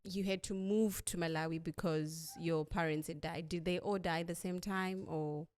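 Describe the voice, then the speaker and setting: Low-pitched woman hosting a podcast. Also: fairly even intonation, normal speed, a little mellow. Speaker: podcast host and interviewer. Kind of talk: interview with a scripted-sounding introduction.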